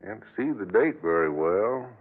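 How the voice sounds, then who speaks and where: An actor playing a chatty, good-natured roadside coffee seller in an old-time radio drama, drawling and colloquial, with a rural American accent. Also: male voice, fairly low pitch, lively intonation, unhurried, phone-quality sound. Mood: puzzled, insistent. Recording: thin band-limited vintage radio recording.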